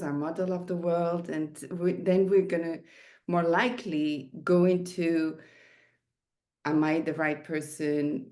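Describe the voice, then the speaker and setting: A woman talks slowly in a slightly low voice. She sounds tentative and repeats words as she works out her thoughts. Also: darkish tone. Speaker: coaching supervisor in discussion.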